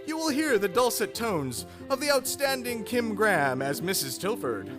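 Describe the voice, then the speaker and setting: A man's voice: high voice, big pitch swings, normal speed, over loud music. A man hosting as announcer in a theatrical old-time radio style, with a grandiose, showmanlike delivery. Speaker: host, announcer.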